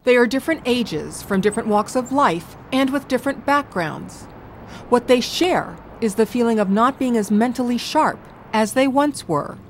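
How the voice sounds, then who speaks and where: A woman giving scripted narration for a documentary in a measured, clear way. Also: a little high, expressive, slight background noise.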